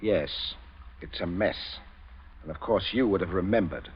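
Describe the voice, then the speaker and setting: An actor playing a coolly calculating English gentleman in an old radio suspense drama, speaking in a measured, politely threatening way. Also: low voice, slight background noise, close to an everyday voice. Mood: cold, threatening. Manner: measured, polite, hesitant hums, quietly threatening. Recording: dull vintage radio recording.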